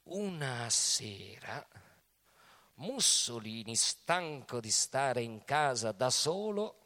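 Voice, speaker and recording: A man giving a deliberate, dramatic scripted narration in a radio theatre piece. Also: mid-range voice, sing-song, bright, forceful tone. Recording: music playing behind the voice. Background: music.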